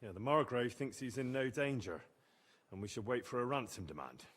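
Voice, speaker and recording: A man's voice: medium pitch, very animated, brisk, fairly bright tone, far from the mic, slight background noise. An actor playing a determined, plain-spoken young man set on revenge in a video game drama. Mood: uncertain, earnest.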